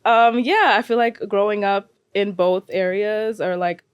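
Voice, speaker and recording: Chatty Brooklyn-raised woman, mid-pitched. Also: unhurried, clean tone. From born in Guyana, raised in Brooklyn, New York from about eight. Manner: chatty, peppers talk with "like" and "you know". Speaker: podcast guest. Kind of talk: casual chat.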